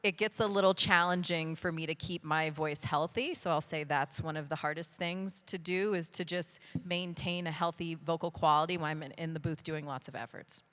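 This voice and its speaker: Woman, low pitch, smooth resonant voice, forceful, voice actor, explanatory panel answer. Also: fairly even intonation, normal speed, few pauses. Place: live convention panel.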